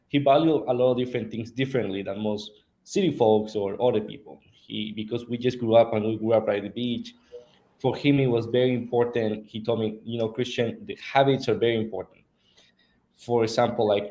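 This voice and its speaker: A man's voice: mid-range voice, warm tone, poor audio. A man from Peru, a guest on a live-stream interview, telling his life story earnestly with fillers. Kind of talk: casual interview talk.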